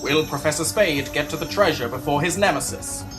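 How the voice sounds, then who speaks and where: A man's voice: on the high side, brisk, lightly acted. A man plays the dramatic narrator of an adventure serial. He announces in a melodramatic cliffhanger style. Music plays behind him. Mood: suspenseful.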